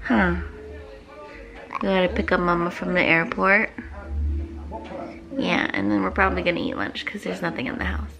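A woman's voice: on the low side, fairly even intonation, moderate pace, creaky, long pauses. A young woman, a mother, chatting casually for a family vlog into a handheld camera. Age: young adult. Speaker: vlogger and mother. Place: at home, in a car and in a busy restaurant. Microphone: handheld camera at arm's length.

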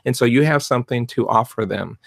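A middle-aged man, soft-spoken and rambling with many fillers, coaching art teachers in a casual live stream from his home studio on a desk mic. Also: medium pitch, a little fast, creaky, no room sound. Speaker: fluid art business coach. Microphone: desk mic in front of webcam.

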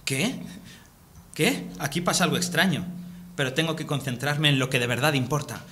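A man's voice: a little high, normal speed, over loud music, close to an everyday voice. A man playing an earnest, awkward young superhero in a radio serial, hesitant and theatrical, with an accent from Spain. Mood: determined. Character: earnest, awkward young male superhero student, Captain Chicken. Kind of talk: Spanish radio serial acting.

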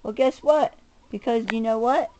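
A woman's voice: on the high side, normal speed, warm tone, slight background noise, rough recording. A middle-aged woman in an angry, combative personal rant to camera, swearing and stressing her words. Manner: combative, profane, emphatic, swinging between muttering and raised voice. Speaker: self-recording vlogger.

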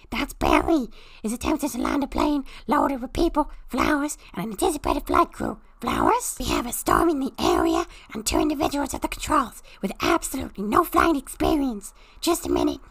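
Small cartoon mushroom creature, excitable, very hoarse and croaky, wildly swooping pitch, theatrical parody reading. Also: female voice, dry recording, heavily acted. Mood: loud, agitated. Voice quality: raspy, strained, breaking into gravel and whisper. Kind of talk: parody reading of a film script.

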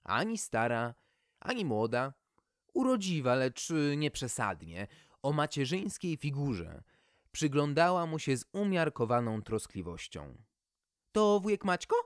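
Male storyteller for a wry fairy tale, very varied intonation, measured pace. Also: mid-range voice, sing-song, no room sound, clean studio sound.

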